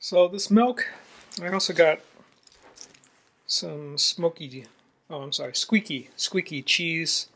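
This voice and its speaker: A man's voice: fairly high pitch, takes it slowly, long pauses, a bit bright, slightly rough. A man in his forties vlogging casually into a phone held at arm's length, musing aloud and trailing off with fillers. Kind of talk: casual travel vlog. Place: outdoors in snowy town, then in a truck, then indoors at a table. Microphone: handheld phone at arm's length.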